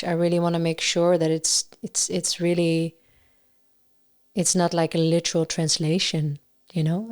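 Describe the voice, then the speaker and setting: A woman with a Dutch accent, a singer-songwriter and guest on a podcast, chatting casually and often pausing to search for words. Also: lowish voice. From Netherlands. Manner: searching for words, many fillers and restarts.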